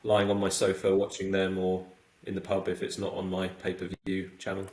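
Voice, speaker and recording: A man's voice: low voice, monotone, moderate pace, a little mellow, roomy sound. A middle-aged man from the south coast, a podcast guest talking casually with many fillers and restarts. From south coast of England.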